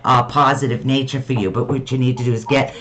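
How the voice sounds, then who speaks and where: A soft-spoken man hosting a radio call-in show and giving a psychic reading in a reassuring way, with frequent ums. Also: mid-range voice, little pitch movement. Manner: reassuring, fills pauses with um.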